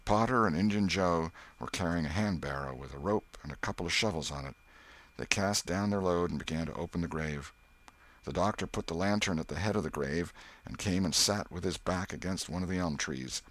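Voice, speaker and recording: A man reading a classic story as an audiobook narrator, with measured, expressive delivery. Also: low voice.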